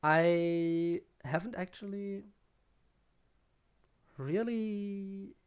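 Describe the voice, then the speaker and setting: A man's voice: high-pitched, extremely slow, clean tone. A German man with a German accent, chatting casually with viewers during a live game stream, rambling and often restarting his sentences. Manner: rambling, with frequent restarts while answering chat. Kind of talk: casual live-stream chat while gaming.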